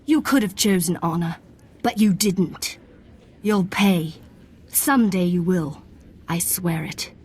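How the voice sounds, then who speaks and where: A woman's voice: on the low side, takes it slowly, pauses often, darkish tone, breathy edge. A woman voicing a proud, bitter young noblewoman in a video game, dramatic and vehement. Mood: bitter, vengeful.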